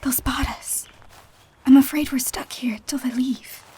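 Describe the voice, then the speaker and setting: A plucky, earnest teenage girl, acted by a woman in an audio drama. The voice is high and takes its time, anxious but determined. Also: steady tone, unhurried, mellow, husky, full character voice. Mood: hushed caution.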